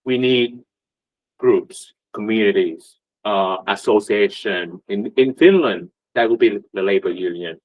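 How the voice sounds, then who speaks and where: Man, low voice, chatty meetup talk, muffled lo-fi audio. Union rep type. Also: measured pace. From Vietnam. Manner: conversational, many rhetorical questions and fillers. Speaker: union representative. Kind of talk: presentation at a meetup. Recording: muffled lo-fi recording.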